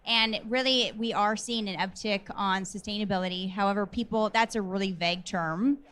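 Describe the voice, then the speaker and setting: A woman's voice: mid-range voice, fairly even intonation, moderate pace, fairly bright tone. A woman panelist at a trade show, speaking in a chatty, enthusiastic way with many fillers. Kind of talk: panel discussion.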